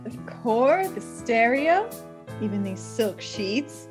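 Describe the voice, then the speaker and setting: A seductive mistress in a radio drama. Her voice is high and she is teasing and insistent, with faint music behind her. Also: very animated, takes it slowly. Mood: sultry, teasing. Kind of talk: radio play acting.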